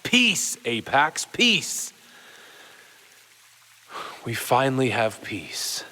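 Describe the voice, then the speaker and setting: A war-weary Roman lord in a radio play, stern and imperious. He speaks slowly and deliberately, and his words ring in a long hall reverb. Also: mid-range voice, very animated, sharp tone, exaggerated voice. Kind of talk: theatrical audio drama. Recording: long hall reverb, water sounds behind.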